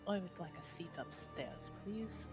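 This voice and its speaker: A woman's voice: fairly low pitch, expressive, unhurried, music in the background. A woman voicing a haughty noblewoman in a fantasy tabletop game, curt, cutting and disdainful. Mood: curt, cool.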